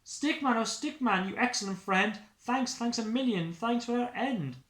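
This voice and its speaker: A jolly Father Christmas figure acted out by a man, hearty and exclamatory. The storybook voice is lifted high and echoes in the room. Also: moderate pace, a bit bright. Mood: grateful.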